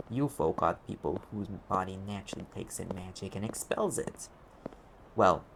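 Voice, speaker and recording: A man's voice: low-pitched, gravelly, background noise. A man playing a sarcastic, streetwise demon private investigator in an audio roleplay, talking in an offhand, sardonic way. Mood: dry, impatient. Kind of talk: conversational audio roleplay acting.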